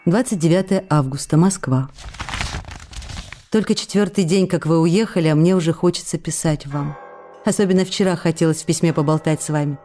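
A woman's voice: low-pitched, brisk, dark tone, a little hiss or hum, natural voice. An actress playing an affectionate, impulsive stage actress who reads her letters in an intimate, chatty, coy way for a radio play. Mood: wistful, chatty. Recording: radio drama with faint music behind.

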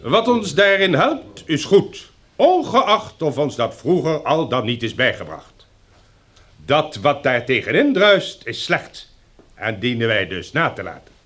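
A stern, self-important male leader in a radio play. His voice sits a little high and swings widely as he lays down the rules. The delivery is formal, measured and emphatic, like a public address. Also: big pitch swings, a bit bright, exaggerated voice. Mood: solemn, commanding. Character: stern, pompous male leader lecturing survivors on new rules. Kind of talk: formal speech in Dutch radio drama.

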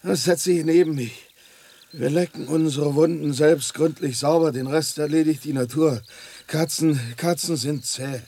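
Slow-talking gruff old tomcat. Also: male voice, on the high side, dark tone. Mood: gruffly grateful. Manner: gruff, curt, grudging. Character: tough, grumpy, proud old street tomcat, wounded. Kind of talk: radio drama acting.

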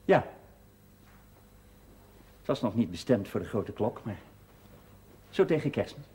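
An actor playing a brisk, curt mining boss in a naturalistic 1970s television drama. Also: male voice, fairly low pitch, very animated, dark tone. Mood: affable, confident.

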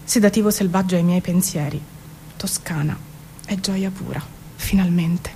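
A woman giving an expressive, measured theatrical spoken-word reading with dramatic pauses. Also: fairly low pitch. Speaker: performer reading her own poetic prose. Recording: music behind the voice. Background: music.

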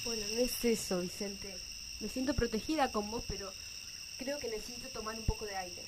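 A woman's voice: a little high, brisk, very noisy, natural voice. A woman with an Argentine accent playing a fearful, hesitant teenage girl in love in a radio drama. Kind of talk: amateur radio drama acting. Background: music.

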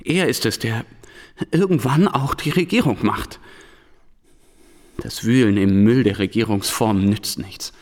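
An actor in a German radio play voicing a disillusioned, brooding schoolteacher back from war, speaking in impassioned, halting phrases. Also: male voice, medium pitch, darkish tone, long reverb tail, close to an everyday voice. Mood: grave, resolved. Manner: impassioned, halting rhetorical questions and short phrases.

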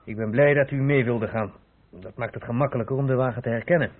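A man's voice: mid-range voice, quick pace, phone-quality sound, natural voice. An actor playing a courteous, inquisitive male police inspector in a radio play. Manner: formal, inquisitive. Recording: thin old radio recording, faint noise.

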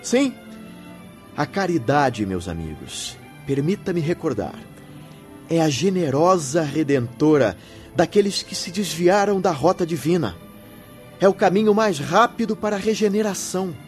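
Higher-voiced, solemn male spirit mentor. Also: very animated, unhurried, a little mellow, faint music behind. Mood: solemn exhortation. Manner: solemn, exhortative, formal oratory. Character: kindly, earnest male spirit mentor urging charity. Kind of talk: radio drama acting.

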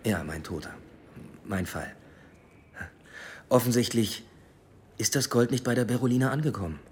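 An actor playing a guarded, ambitious police inspector in 1920s Berlin, measured and businesslike, in a naturalistic radio drama style. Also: male voice, medium pitch, lively intonation, a little mellow, a little hiss or hum, natural voice. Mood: guarded, reporting.

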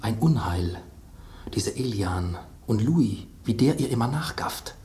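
Pompous, haughty wine, dark and low. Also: male voice, expressive, a little slow. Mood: ominous. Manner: pompous, theatrical, hushed dramatic asides. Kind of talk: German radio drama acting.

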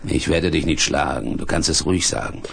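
A man acting a big, gruff, protective hermit with a gravelly, husky voice in a 1970s German radio play, speaking in an unhurried, commanding way. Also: extremely low pitch, fairly bright tone, exaggerated voice. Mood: calm, decisive. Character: big red-bearded hermit, gruff, protective secret father.

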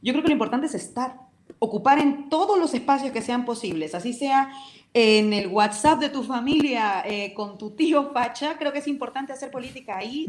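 A woman from Peru with a Peruvian accent, a guest in a radio interview who speaks her opinions in a vehement, passionate way. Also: fairly high pitch. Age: adult with a decade living abroad. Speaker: interview guest, talk show host and commentator. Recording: roomy echo.

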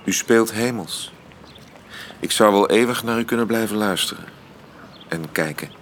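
A man's voice: fairly low pitch, moderate pace, a bit bright, slight background noise, natural voice. An actor playing an impulsive, amorous intellectual womanizer in a radio drama, effusive and courtly in manner. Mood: charming, flirtatious.

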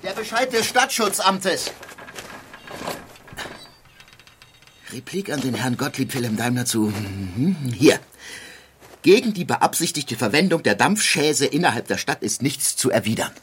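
A man's voice: mid-range voice, big pitch swings, normal speed, fairly bright tone, a little hiss or hum. An actor playing an eager, visionary male inventor in a dramatized documentary, with theatrical, emphatic delivery. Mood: brisk, official, pleased. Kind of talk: dramatized documentary radio play.

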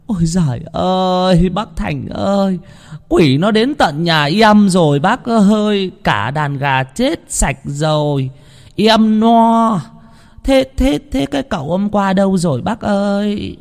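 A man voicing a distraught village mother, whimpering and pleading in a high voice. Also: lively intonation, slow, creaky, in a large hall, heavily acted.

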